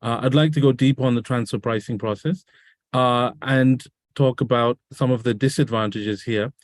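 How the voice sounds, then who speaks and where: A man's voice: mid-range voice, steady tone, moderate pace, no room sound. A man hosting a business webinar over a video call, asking guests questions with curious follow-ups. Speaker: webinar host. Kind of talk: conversational webinar interview.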